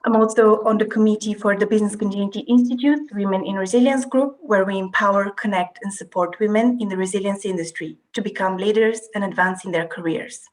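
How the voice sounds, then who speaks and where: A woman's voice: medium pitch, poor audio. Woman with a Bulgarian accent, guest. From Bulgaria, Russia and Cyprus. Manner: measured, detailed storytelling with some hesitations. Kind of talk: conversational interview.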